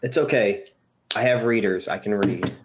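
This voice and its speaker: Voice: sits low, moderate pace. Rambling casual talker with faint background noise. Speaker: amateur voice actor in a live comic dub. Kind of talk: casual chat. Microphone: voice call microphone. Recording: faint noise and clicks, online voice call.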